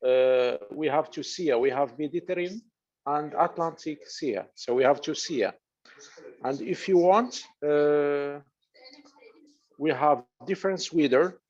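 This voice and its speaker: A man from Morocco speaks slowly, with a Moroccan accent and careful, halting phrasing. His voice sits at a middle pitch. The video call audio is dull and lo-fi. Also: darkish tone. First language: Arabic. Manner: halting, non-native phrasing. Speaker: webinar panelist. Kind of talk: casual video call chat.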